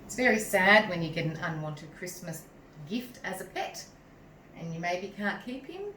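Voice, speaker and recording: A woman reading a picture book aloud to young children in a measured, animated way, pausing to chat to them. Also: lowish voice. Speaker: teacher reading a story to children. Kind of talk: expressive picture-book read-aloud with asides to young listeners. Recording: roomy echo, distant mic, some noise.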